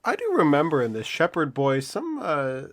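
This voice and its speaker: Man playing dignified, expressive banished duke. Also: a little high, normal speed, exaggerated voice. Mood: stately, curious. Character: Duke, dignified older father, banished ruler. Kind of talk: Shakespearean radio play acting.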